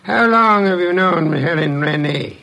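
An actor playing an imperious detective boss in an old radio mystery, speaking with pompous, deliberate, formal diction. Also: male voice, high-pitched, gravelly, pushed and bright, full character voice. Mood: formal, probing. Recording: noisy vintage radio recording.

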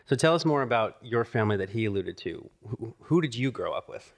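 A man's voice: medium pitch, expressive, fairly quick. A man hosting a conversational interview with short, informal questions. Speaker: interviewer, host.

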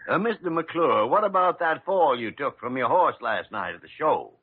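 An actor playing a gruff, sarcastic old senior doctor in a vintage radio drama. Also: male voice, fairly high pitch, expressive, moderate pace. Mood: dry, gruff. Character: crusty, sardonic old male senior doctor. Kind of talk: 1940s-50s American radio drama acting. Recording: tinny, narrow-band old radio recording.

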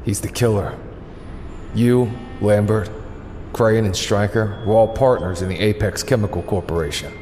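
A man plays a composed masked vigilante detective in an audio drama. The voice is low and stern, laying out facts with authority. Faint background noise sits under it. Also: moderate pace, mellow, in a large hall, natural voice. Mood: stern, explaining.

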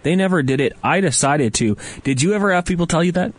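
A man's voice: on the high side, animated, fast, dry recording. A young man hosting a podcast, asking friendly, curious questions in casual chat. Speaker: podcast host.

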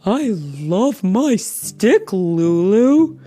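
A woman voicing a kind, helpful male bear in a storybook, earnest and drawn-out. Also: a little high, expressive, mellow, soft background music. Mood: warm, sincere.

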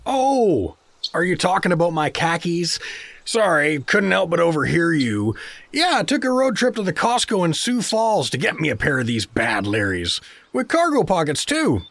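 An actor playing a friendly, hapless middle-aged American dad from South Dakota, chummy and rambling, in a comedic fantasy audio drama. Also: male voice, on the high side, big pitch swings, normal speed, creaky, a bit bright, dry recording, heavily acted. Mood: chummy, sheepish.

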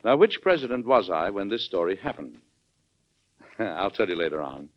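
Casting: avuncular older US president, very animated intonation, 1940s radio drama, dull vintage broadcast sound. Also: male voice, on the low side, moderate pace. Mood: folksy, confiding. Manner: chuckling, folksy, avuncular. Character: genial, unflappable older male US president telling his own story.

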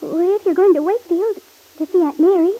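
A woman playing a gentle, anxious, secretive young woman in an old-time radio serial, speaking hesitantly and earnestly. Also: very high-pitched, brisk, rough recording. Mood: anxious, pleading. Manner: hesitant, soft-spoken, earnest.

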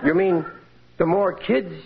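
A man's voice: high-pitched, measured pace, vocal fry. Male, American, old radio sitcom dope of a husband, hesitant and hammy, on a noisy muffled broadcast recording. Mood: earnest, exasperated. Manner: hesitant, stammering, exaggerated delivery. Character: bumbling, sly working-class married man and father. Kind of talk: 1940s-50s American radio sitcom acting.

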